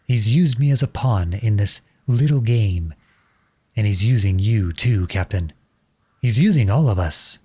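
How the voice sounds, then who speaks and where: A man's voice: fairly low pitch, expressive, unhurried, warm tone, husky. A man playing an impassioned, defiant young prince turned soldier in a scripted audio roleplay, with an earnest, dramatic delivery. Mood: bitter, indignant.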